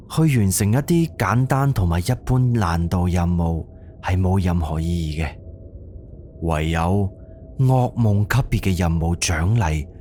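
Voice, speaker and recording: A man's voice: deep voice, warm tone, a little hiss or hum. A man with a Cantonese accent narrating a horror mystery audio drama in a dramatic storytelling style.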